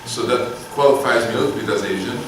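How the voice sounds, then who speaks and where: A middle-aged man from Turkey, giving an informal university lecture in a halting, filler-heavy way with self-deprecating humor. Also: mid-range voice, far from the mic, background noise. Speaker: guest lecturer. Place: large lecture hall. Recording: echoey hall heard from afar.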